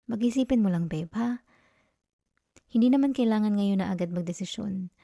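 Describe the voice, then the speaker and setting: A woman with a Filipino accent playing an affectionate, caring girlfriend in a soft, intimate ASMR roleplay, speaking gently and coaxingly. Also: mid-range voice, moderate pace, creaky, no room sound, studio quality. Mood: gently worried. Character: affectionate, caring, playfully scolding young girlfriend.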